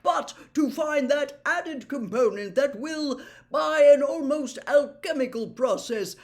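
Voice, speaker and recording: A woman's voice: high voice, takes it slowly, darkish tone, voice pushed up. A woman voicing a jovial old male potions professor in a storybook, lecturing his class in a declamatory way. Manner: declamatory, schoolmasterly. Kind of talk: storybook character voice.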